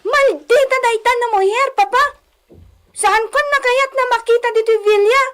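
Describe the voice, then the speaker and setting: A woman's voice: very high voice, moderate pace, a bit bright. A woman voicing a spoiled, haughty young rich daughter in a radio comedy drama, whiny and demanding. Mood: petulant.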